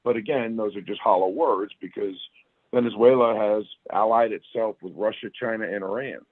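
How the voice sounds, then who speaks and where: A man with a raspy voice and a sore throat, a retired army sergeant talking as a guest in a casual interview, plainspoken with folksy asides. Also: fairly low pitch, moderate pace, no echo. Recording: tinny phone line, dry.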